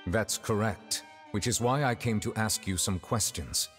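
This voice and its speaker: An actor playing a stern, formal chief judge in a video game, speaking in a solemn, measured way. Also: male voice, on the low side, soft background music, natural voice. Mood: probing, grave. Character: grave, stern, formal male chief judge pressing a god.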